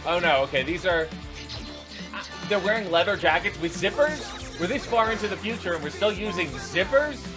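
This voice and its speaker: Man, fairly high voice, sarcastic reaction host, exasperated off-the-cuff commentary, clear music playing behind him. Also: expressive, moderate pace. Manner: exasperated, sarcastic, incredulous riffing. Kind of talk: unscripted running commentary reacting to video clips.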